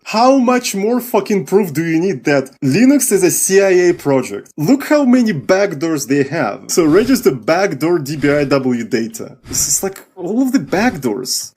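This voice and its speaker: A man casually demonstrating something on screen in an excitable, mocking and profane way. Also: on the high side, breathy edge. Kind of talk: screen-recorded demo, casual.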